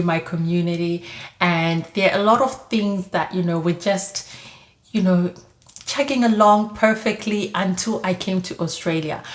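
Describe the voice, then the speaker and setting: A woman, giving chatty advice in a casual monologue, full of "like" and "you know". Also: lowish voice. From Zimbabwe. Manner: chatty, frequent "like" and "you know", light laughs. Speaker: vlogger giving immigrant advice. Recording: echoey room.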